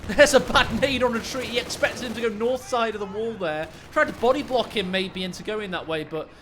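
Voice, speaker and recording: A man co-commentating a strategy game match, joking and laughing often. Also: high voice. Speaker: game caster. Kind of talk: laid-back esports co-commentary. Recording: game noise under voice.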